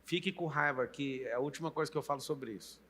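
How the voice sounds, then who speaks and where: Bright, emphatic Brazilian host in his late thirties. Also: a little high, fast, big-room sound, a little hiss or hum. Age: late 30s. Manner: emphatic, coaching, addresses the viewer directly. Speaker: podcast host and coach. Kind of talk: motivational interview talk.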